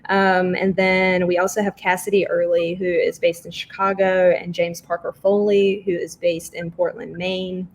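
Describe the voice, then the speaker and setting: A woman's voice: fairly low pitch, little pitch movement, moderate pace, few pauses, a little hiss or hum. A woman hosting an online artists' panel, introducing guests in a conversational way over a video call.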